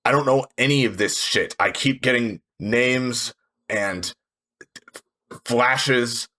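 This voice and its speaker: A man's voice: mid-range voice, slow, pushed and bright. A man voicing a guarded, foul-mouthed, suspicious woman survivor in a tabletop roleplay. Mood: frustrated, defensive. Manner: curt, swearing, suspicious.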